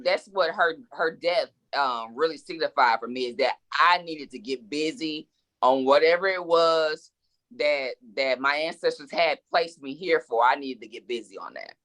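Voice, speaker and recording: A woman's voice: sits low, normal speed, a bit bright. A woman in her forties, a guest author in a casual interview, speaking reflectively and with emphasis, often repeating words as she thinks. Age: 40s.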